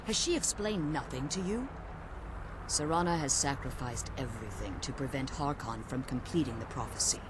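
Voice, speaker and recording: A woman's voice: a bass voice, lively intonation, normal speed, background noise. An actress playing an ancient vampire mother in a video game, imperious, severe and protective, with formal, theatrical speech. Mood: stern, indignant. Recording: loud game ambience behind the voice.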